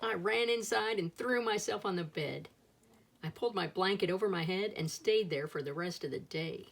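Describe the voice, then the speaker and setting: A woman's voice: on the low side, moderate pace, vocal fry, slight background noise. A woman reading a children's picture book aloud as a storyteller, expressively acting out the dialogue.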